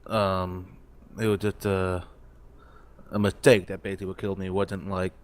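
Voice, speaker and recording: A man's voice: deep voice, little pitch movement, normal speed. A man chatting casually as a game player, hesitant and rambling as he works toward his point. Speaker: role-play game player. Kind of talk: casual chat.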